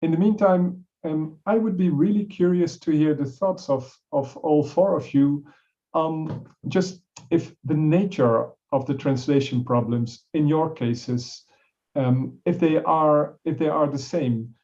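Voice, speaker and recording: A man's voice: on the high side, unhurried, mellow, highs cut off. A man moderating a panel discussion, politely inviting comments and questions in loosely phrased sentences.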